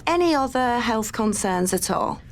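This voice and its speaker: A woman's voice: fairly high pitch, expressive, normal speed, slight background noise. A woman playing a research assistant in an audio drama, professional, matter-of-fact and soothing. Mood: brisk, polite. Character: brisk, reassuring female research assistant at a university.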